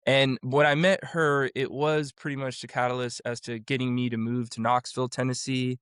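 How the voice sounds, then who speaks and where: A man in his forties from Florida, speaking as a guest on an interview show. His voice is smooth and resonant at a middle pitch. He rambles and restarts as he tells his story, on a dry, close mic. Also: level tone, moderate pace, a bit bright, no room sound. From Florida, USA. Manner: rambling, with many restarts and fillers. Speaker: vocal coach and music producer guest. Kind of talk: casual interview conversation.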